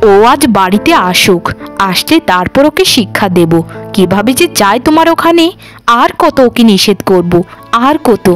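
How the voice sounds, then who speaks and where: A woman's voice: fairly high pitch, natural voice. A woman voicing a poor, humble village woman in a cartoon, long-suffering and deferential, speaking in a pleading, lamenting way. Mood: apologetic. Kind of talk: cartoon voice acting.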